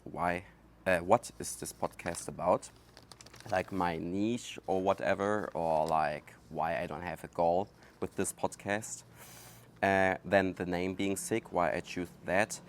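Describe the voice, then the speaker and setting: A German-accented man speaks slowly in a low, deep voice, pausing often and filling gaps with "um" and "like" as he thinks aloud. He hosts his own podcast, recorded outdoors with faint outdoor noise behind him. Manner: halting, thinking aloud with many fillers. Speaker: podcast host. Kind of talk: casual solo podcast monologue. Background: outdoor ambience.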